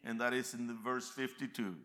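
A man from America, preaching a sermon in a church, emphatic and swinging between quiet reflection and loud fervent peaks. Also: medium pitch, level tone, brisk. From Central America. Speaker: visiting preacher.

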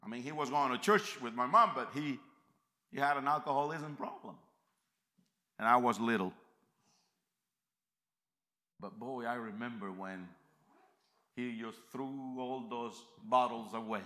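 A visiting preacher from addresses a church congregation. His voice is strikingly bright and forceful, at an ordinary middle pitch for a man. He moves between hushed, reflective passages and loud, fervent climaxes. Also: unhurried, pauses often. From Central America. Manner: emphatic, swings between quiet reflection and loud fervent peaks. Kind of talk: sermon.

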